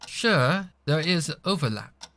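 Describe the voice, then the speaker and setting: A man's voice: a little high, expressive, takes it slowly, pushed and bright, no room sound, heavily acted. A man voicing a refined female pony who explains things patiently in polite, formal phrasing. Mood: grave, explaining.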